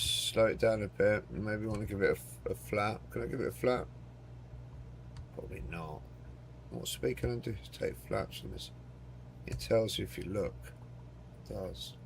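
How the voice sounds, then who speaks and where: A man with a gruff, fry-laden voice narrates his own gameplay in a loose, joking way, swearing now and then. He mutters to himself and speaks to his chat. Loud aircraft engine noise roars under his voice. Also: fairly low pitch. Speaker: game streamer. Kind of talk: casual live commentary while playing a flight simulator.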